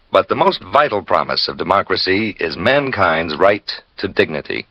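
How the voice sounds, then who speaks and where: Low, solemn vintage radio announcer. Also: male voice, bright, forceful tone, no room sound. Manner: solemn, declamatory. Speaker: public service announcer. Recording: dull, dry old radio recording.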